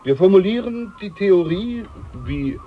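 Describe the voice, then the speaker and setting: An actor playing a boastful, condescending older ship's doctor in a radio play. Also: male voice, high-pitched, lively intonation, a little slow, phone-quality sound, slight background noise. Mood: pompous. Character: pompous older ship's doctor, self-important.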